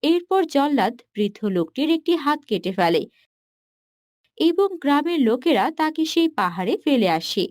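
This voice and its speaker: A woman's voice: high-pitched, big pitch swings, measured pace, a little mellow. A woman reading scripted narration for a cartoon story as its narrator.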